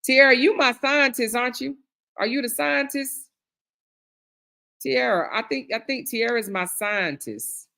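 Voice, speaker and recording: A woman's voice: on the high side, unhurried, vocal fry, pushed and bright. A middle-aged woman hosting a live stream, reading viewer comments aloud and reacting in a chatty way, calling people girl, honey and child. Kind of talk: casual chat.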